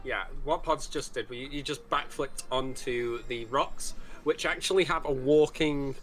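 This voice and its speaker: A man's voice: medium pitch, a little slow, fairly bright tone, soft background music. Easygoing man explaining a speedrun. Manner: easygoing, explanatory, laughs often. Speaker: commentator. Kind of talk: casual game commentary.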